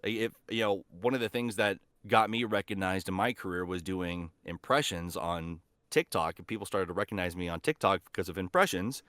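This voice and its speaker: A man's voice: on the low side, a bit bright, no room sound. A man chatting casually as a voice actor guest on a podcast, speaking in an enthusiastic, earnest way.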